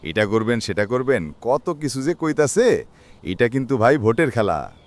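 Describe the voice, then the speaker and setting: A man's voice: medium pitch, big pitch swings, normal speed, lightly acted. An actor playing a sceptical, outspoken poor village man in a cartoon, complaining emphatically in a rural Bangladeshi accent. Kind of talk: cartoon voice acting.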